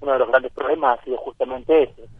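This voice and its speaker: A man's voice: mid-range voice, steady tone, fast talker, husky, dry recording. A man with an Argentine accent giving formal explanations as a councillor in a radio phone interview. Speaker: city councillor. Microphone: telephone. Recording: muffled, narrow telephone line.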